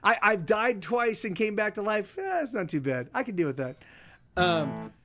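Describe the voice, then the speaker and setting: A man in his forties hosting a livestream, chatting casually with viewers in halting, self-interrupting sentences and frequent swearing. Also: high-pitched, very animated, over music. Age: 40s. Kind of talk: rambling casual chat.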